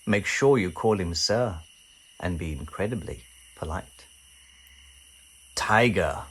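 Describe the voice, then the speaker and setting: A man reading a children's picture book aloud in an animated, theatrical way, drawing out key words. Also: deep voice, slightly rough.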